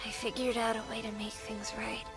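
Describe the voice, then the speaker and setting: A woman voicing an eerily calm, deceptive demon child with polite, placid words in an English anime dub. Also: on the high side, a little fast, lightly acted. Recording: loud music behind the voice.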